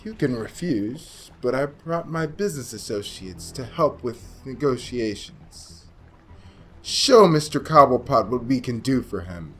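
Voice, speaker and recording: A man's voice: medium pitch, lively intonation, a little slow, a bit bright, a little hiss or hum. A man playing a masked mob boss in a radio drama, mock-genteel and taunting as he threatens. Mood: smug menace. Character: muscular masked male mob boss, smugly menacing. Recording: music behind.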